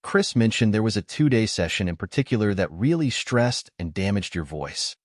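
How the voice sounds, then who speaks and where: A man's voice: on the low side, very animated, normal speed, no echo, clean studio sound. A man working as an entertainment interviewer, asking questions in a friendly, conversational way during a press interview.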